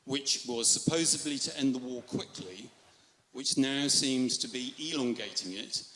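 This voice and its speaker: A man arguing in a live debate with measured, formal and courteous phrasing. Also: medium pitch, bright, forceful tone. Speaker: audience debater. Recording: echoey PA in large space.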